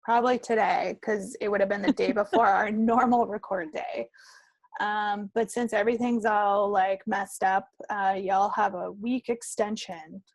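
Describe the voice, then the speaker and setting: The folksy woman co-host on a homemade hobby podcast, with vocal fry and a close, dry sound. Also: medium pitch, steady tone, moderate pace, hardly any pauses, no room sound, poor audio. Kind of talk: casual chat.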